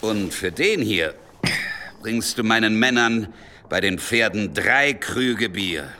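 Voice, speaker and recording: An actor playing a curt, coarse, domineering leader of medieval riders in a radio drama. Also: male voice, lowish voice, lively intonation, slow, pushed and bright, a little hiss or hum, full character voice. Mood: cool, domineering. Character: gruff, commanding medieval leader of armed riders, menacing.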